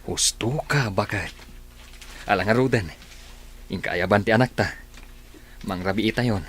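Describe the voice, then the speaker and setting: A man acting as a calm, agreeable husband and father in a radio play. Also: fairly low pitch, unhurried.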